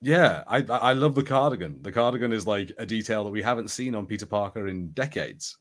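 A man's voice: fairly low pitch, expressive, brisk. A man co-hosting a podcast, talking casually and conversationally. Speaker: podcast co-host. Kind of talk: casual pop culture discussion.

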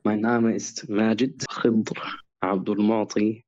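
A man's voice: on the low side, flat delivery, takes it slowly, a little mellow, dull audio. A young man from Egypt who grew up in Berlin, chatting as a podcast guest in a jokey way, peppering his talk with Arabic phrases. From born in Egypt, raised in Berlin from age eight. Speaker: podcast guest, Islamic studies student. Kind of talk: casual podcast interview talk.

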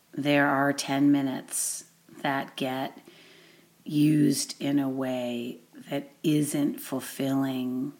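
Slow, low-pitched woman guiding meditation. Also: gravelly, darkish tone. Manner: deliberate, measured phrasing with long pauses. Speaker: meditation guide. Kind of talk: guided meditation introduction, gentle instruction.

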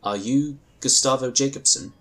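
A man playing a composed, dutiful psychic agent in a sci-fi audio drama, speaking in a formal, earnest, measured way. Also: medium pitch, fairly bright tone, natural voice. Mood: calm, insistent.

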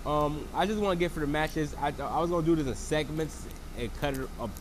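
Sick guy w a froggy voice rambling thru a wrestling recap, kinda high voice, faint noise. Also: brisk. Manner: rambling, lots of fillers and restarts. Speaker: wrestling show reviewer. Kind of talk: casual solo recap.

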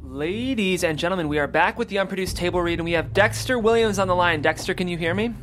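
A man's voice: high-pitched, fast, fairly bright tone, faint music behind. A man hosting a script read and narrating stage directions.

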